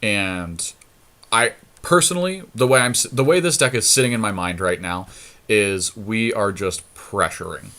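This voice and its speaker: A man's voice: lowish voice, lively intonation, normal speed, fairly bright tone. A man co-hosting a podcast, chatting casually about card game strategy and thinking his choices through aloud. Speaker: podcast co-host.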